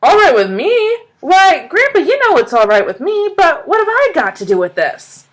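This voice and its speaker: A woman's voice: sits high, sing-song, measured pace, pitched above the performer's own voice. A woman voicing a wide-eyed, eager country boy who asks breathless, wondering questions. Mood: amazed.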